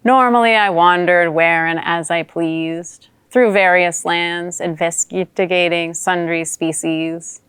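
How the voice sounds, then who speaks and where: A woman performing a dramatic monologue as a wry, watchful female newt, in measured theatrical storytelling. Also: lowish voice, fairly even intonation, fairly bright tone, smooth, no room sound. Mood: somber, wry.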